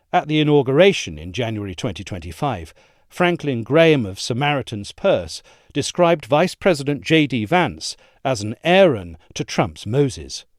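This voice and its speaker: A man reading a scripted political essay in a measured, formal manner. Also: mid-range voice, no room sound, studio quality. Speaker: reader of an audio essay.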